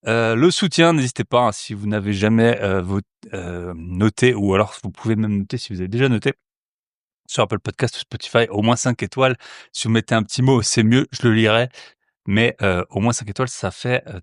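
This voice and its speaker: A man's voice: lowish voice, moderate pace, no echo, studio quality. A man hosting an investment podcast in French, reading a promo and then welcoming a guest, with many filler hesitations. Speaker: podcast host. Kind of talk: podcast ad read and interview intro.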